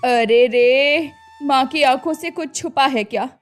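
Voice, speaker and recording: A woman voicing a poor, worried and loving old village mother who pleads slowly and tearfully. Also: high voice, soft background music.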